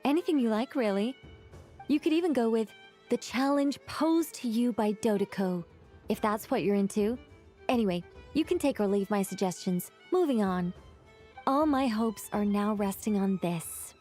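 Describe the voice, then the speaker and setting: A woman's voice: mid-range voice, moderate pace, warm tone, close to an everyday voice. An actress voicing a chatty, eager young woman in a video game, with a playful, gushing and dramatic manner. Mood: breezy. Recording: music behind the voice.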